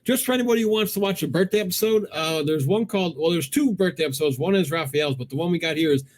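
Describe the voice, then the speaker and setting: A man's voice: a little high, fast talker. A middle-aged man hosting a cartoon countdown show, chatting casually and enthusiastically as a fan.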